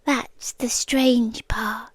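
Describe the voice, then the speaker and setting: An obsessive, romantic young kidnapper speaks in a high, soft whisper with a British accent, as a woman acts her out for ASMR. She muses slowly and calmly. The sound is close and dry, with no room around it. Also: dry recording. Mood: brooding. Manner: soft whispering, slow musing monologue. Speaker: ASMR roleplay creator. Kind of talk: ASMR roleplay.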